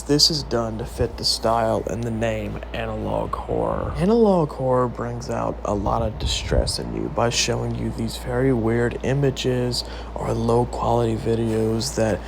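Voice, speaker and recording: A man with a creaky voice giving earnest, emphatic video essay commentary on horror. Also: mid-range voice, noisy background. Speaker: video creator and host.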